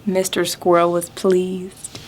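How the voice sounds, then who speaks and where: A woman storytime host reading aloud expressively to small children, phrasing clearly and asking them questions. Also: lowish voice, monotone, slow pace, a little hiss or hum.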